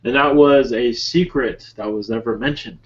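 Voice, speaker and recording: A man voicing an ancient otherworldly spirit guide in a calm, solemn, halting manner with measured, broken phrasing. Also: medium pitch, close to an everyday voice. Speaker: channeler leading a webinar session. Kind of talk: slow improvised channeled speech. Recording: muffled lo-fi webinar call audio.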